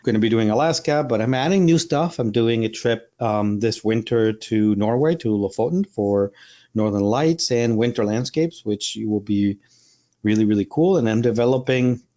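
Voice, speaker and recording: A man's voice: fairly low pitch, normal speed, warm tone, lo-fi sound. Man, rambling interview chat. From Puerto Rico. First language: Spanish. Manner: rambling, many fillers and restarts. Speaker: wildlife photographer and workshop leader.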